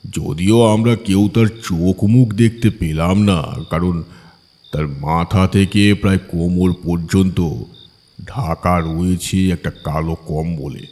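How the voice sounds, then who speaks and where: A man reads a ghost story as an audiobook narrator. His voice is low and husky, delivered slowly, with a long reverberant tail on the recording. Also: dark tone. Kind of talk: scripted horror story narration.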